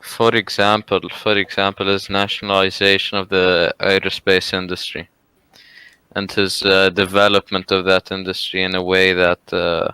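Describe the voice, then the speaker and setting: A man with a Lebanese accent debating on a voice call, halting at times with fillers. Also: deep voice, flat delivery, sharp tone, smooth, no echo.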